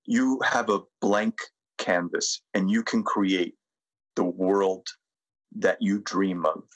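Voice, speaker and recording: A middle-aged American man speaking reflectively as a podcast guest, measured and deliberate, with pauses. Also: sits low, fairly even intonation, poor audio. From United States. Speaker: podcast guest, sustainability consultant. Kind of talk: reflective interview talk and guided presentation.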